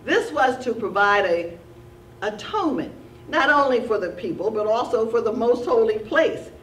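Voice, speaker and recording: A woman teaching a Sunday school Bible lesson at a church lectern, reading steadily and slowing down to stress key words. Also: fairly high pitch, lively intonation, slight background noise. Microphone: lectern mic, filmed from a distant camera. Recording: roomy church sound from a distance.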